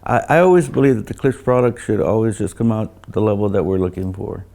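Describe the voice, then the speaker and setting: An older man who designs loudspeakers, talking casually about technical matters and often repeating words as he recounts things. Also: lowish voice, creaky. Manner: halting, repeats words, anecdotal.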